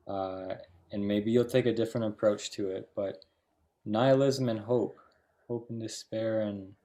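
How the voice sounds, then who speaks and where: Low-voiced man, reflective and halting. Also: fairly even intonation, warm tone. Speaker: discussion moderator. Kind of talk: thoughtful post-show interview.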